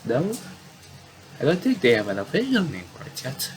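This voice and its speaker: A man's voice: mid-range voice, wildly swooping pitch, moderate pace, a little hiss or hum. A man doing casual game commentary, reading visual novel dialogue aloud and often stumbling and repeating phrases.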